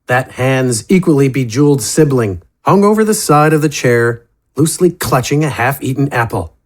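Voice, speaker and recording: A man narrating a fantasy story from a script in a measured, descriptive storytelling manner. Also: mid-range voice, expressive.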